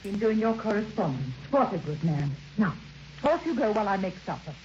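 A woman's voice: medium pitch, big pitch swings, a little fast, darkish tone, lightly acted. An actress playing a kindly, motherly housewife with a fussing, gossipy manner in an old radio drama. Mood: kindly, bustling. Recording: noisy, dull old radio recording.

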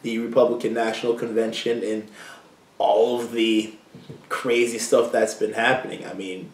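A young man co-hosting a casual chat, animated and slangy, laughing easily. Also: on the low side. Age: young adult. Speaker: co-host. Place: small room indoors, seated side by side. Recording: roomy echo, mic at a distance.

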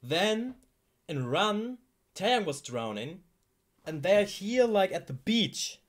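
Animated young man reacting, higher-pitched voice. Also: takes it slowly. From Germany. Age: 20s. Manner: animated, exclaims and asks questions to himself. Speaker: host of a reaction channel. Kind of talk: casual reaction commentary. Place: small indoor room with shelves, at a desk. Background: music from the video he watches.

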